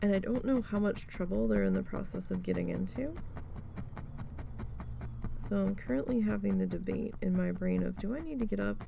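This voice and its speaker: A woman losing her voice, chatting casually and slowly with self-deprecating asides while she does a craft project at home. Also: medium pitch, vocal fry, warm tone, slight background noise. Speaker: needle felting crafter.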